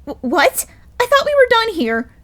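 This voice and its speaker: A woman voicing a petulant, scheming female sheep in an animated courtroom story, indignant and easily flustered. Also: extremely high pitch, moderate pace, full character voice. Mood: panicked. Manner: indignant, flustered when challenged. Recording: music behind the voice.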